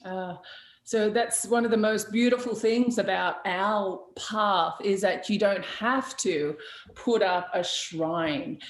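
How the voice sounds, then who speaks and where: Woman, talk show host, blunt and chatty, frequent fillers, middle pitch, echoey room. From Australia. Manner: blunt, chatty, laughs often, many fillers and restarts. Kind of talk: casual chat answering viewer questions. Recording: roomy echo.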